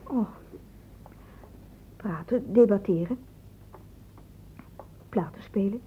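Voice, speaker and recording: A woman's voice: mid-range voice, takes it slowly, breathy edge. An actress playing a guarded, evasive teenage girl in a radio drama, giving hesitant, defensive answers. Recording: noisy, dull lo-fi old radio recording.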